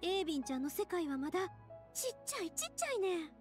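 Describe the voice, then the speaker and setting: Earnest, high-voiced Kansai young woman. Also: fast, soft background music. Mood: pleading, compassionate. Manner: earnest, expressive, folksy. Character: cheerful, kind-hearted young woman, earnest and caring. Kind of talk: anime game dialogue acting.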